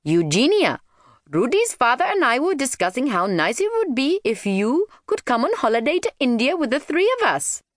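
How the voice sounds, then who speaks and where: A woman voicing a kindly, welcoming mother in a children's story, with a gentle, encouraging manner. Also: high voice, very animated, moderate pace, dry recording, clean studio sound, voice pushed up. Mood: warm, inviting.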